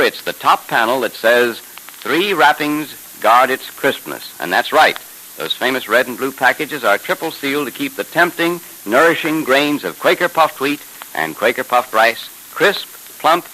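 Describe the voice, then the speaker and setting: Male 1930s radio announcer, American, emphatic sales delivery, forceful and lively, faint hiss. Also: medium pitch, low-quality recording. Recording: hissy 1930s radio recording.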